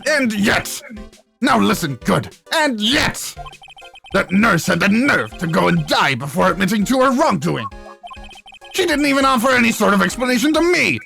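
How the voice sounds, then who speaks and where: A voice actor as an outraged, pompous surgeon, pitched up. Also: big pitch swings, moderate pace, vocal fry, sharp tone, soft background music, heavily acted. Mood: outraged ranting. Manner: indignant ranting with exclamations. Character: angry, pompous, self-important male surgeon, easily outraged.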